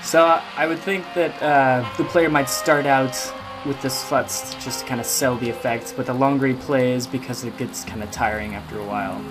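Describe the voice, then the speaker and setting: A man giving a casual, hesitant software demo walkthrough as a game sound designer, thinking aloud as he goes. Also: medium pitch, steady tone, moderate pace, hardly any pauses, poor audio. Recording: loud music and effects behind voice. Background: loud music and game sound effects.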